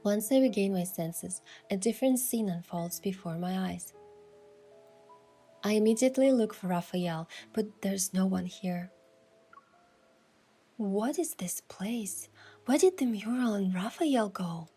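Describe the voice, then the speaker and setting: A woman's voice: medium pitch, a little slow, long pauses, mellow, husky, faint music behind. A woman giving scripted first-person narration of an adventure story as its protagonist.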